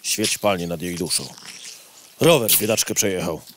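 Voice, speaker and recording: Courtly old widowed beetle officer. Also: male voice, low-pitched, moderate pace, fairly bright tone, slight background noise. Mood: mournful. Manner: formal, old-fashioned courtesy. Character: elderly widowed beetle, retired cavalry captain, courtly and sentimental. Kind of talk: audio comedy drama acting.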